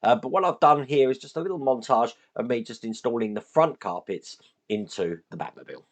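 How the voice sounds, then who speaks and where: A man hosting a model-building channel, his voice hoarse and deeper than usual from shouting, chatting casually and thinking aloud as he works with his hands. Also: expressive, brisk, background noise. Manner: chatty, self-deprecating, thinks aloud while working.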